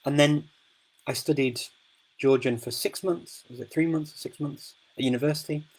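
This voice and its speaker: A man's voice: on the high side, fairly even intonation, moderate pace, low-quality recording. A man hosting a casual live-stream Q&A, speaking in a chatty, rambling way with many fillers and restarts. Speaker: host of a live Q&A.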